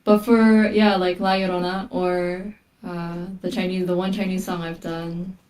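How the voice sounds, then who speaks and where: Female guest musician, native Korean speaker, low-ish pitch, sits back from the mic. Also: level tone, unhurried, darkish tone, recorded from a distance. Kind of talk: casual interview talk.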